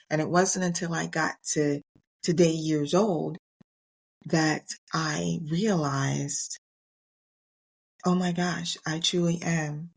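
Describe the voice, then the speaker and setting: A middle-aged woman hosting a motivational podcast on her own, speaking earnestly and emphatically in short, deliberate phrases. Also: deep voice, vocal fry, a little mellow. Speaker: podcast host. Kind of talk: motivational solo podcast talk.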